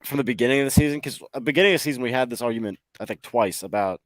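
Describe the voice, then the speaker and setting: A man's voice: medium pitch, rapid-fire, no room sound, low-quality recording. A man co-hosting a sports podcast, chatting casually about hockey in a rambling, hesitant way, thinking aloud. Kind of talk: casual hockey fan chat.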